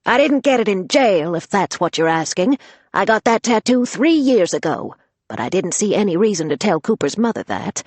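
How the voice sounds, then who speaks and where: A fierce grandmother with a commanding, scolding bark, pitched well above the reader's own voice and delivered loudly, as a woman narrator puts her on. Her voice is husky and sits in a dry, close space. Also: sing-song, moderate pace, dry recording. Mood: brisk. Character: fierce, sharp-tongued grandmother, older woman.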